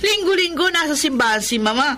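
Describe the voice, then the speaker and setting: A woman's voice: high voice, expressive, a little fast, bright, forceful tone. A woman playing a resentful, accusing married elder daughter in a radio drama. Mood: resentful, determined. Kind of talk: Filipino radio drama acting.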